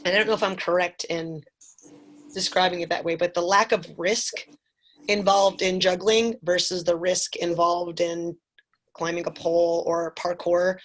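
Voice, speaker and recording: A woman's voice: on the low side, level tone, moderate pace, rough recording. A woman in her forties from Chicago, thinking aloud with frequent restarts in a casual group video call. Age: 40s.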